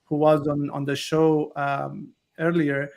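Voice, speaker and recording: A man's voice: fairly high pitch, completely flat delivery, takes it slowly, gravelly, darkish tone. A man hosting a podcast who asks questions and comments earnestly, often restarting his sentences. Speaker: podcast host.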